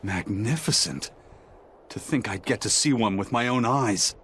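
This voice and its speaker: An actor playing an enthusiastic antiques connoisseur adventurer in a video game, lecturing in a pompous, reverent way. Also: male voice, lowish voice, measured pace, slight background noise. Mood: awed, smug. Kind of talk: English-dub video game acting. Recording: loud music behind.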